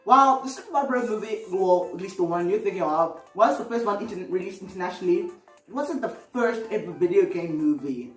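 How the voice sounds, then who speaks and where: A woman's voice: mid-range voice, lively intonation, fairly quick, recorded from a distance. Female video host, rambling and exclamatory, recorded off-mic in an echoey room with music underneath. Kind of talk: casual opinionated video commentary.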